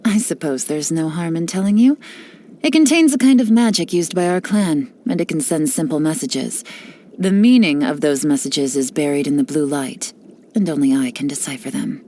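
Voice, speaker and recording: A woman voicing a cool, teasing female spy in a studio game, with a knowing, relaxed way of talking. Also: low-pitched, normal speed, a little hiss or hum, natural voice. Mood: sly. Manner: teasing, relaxed, lightly sly. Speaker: game character voice lines.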